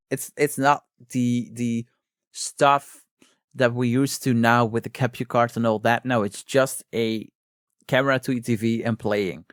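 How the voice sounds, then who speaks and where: A man's voice: mid-range voice, dry recording, clean studio sound. A man hosting a podcast, chatting casually and appreciatively with his guest. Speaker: podcast host. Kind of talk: casual interview chat.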